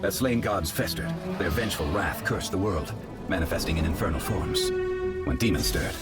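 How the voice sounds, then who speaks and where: A man playing a grave storyteller of ancient legends, narrating in a solemn, measured, dramatic way for a video game. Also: low-pitched, animated. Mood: solemn, ominous. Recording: loud background music.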